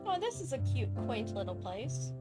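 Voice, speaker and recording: A woman's voice: a little high, very animated, normal speed. A woman casually commentating as she streams a video game, playful and prone to little sing-song noises. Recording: game music behind.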